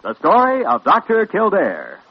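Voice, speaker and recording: A theatrical male announcer from 1940s radio, high-pitched with sweeping intonation. He proclaims scripted lines over music on a muffled vintage recording. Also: huge pitch swings, normal speed, a little hiss or hum. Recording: dull old radio recording, music behind.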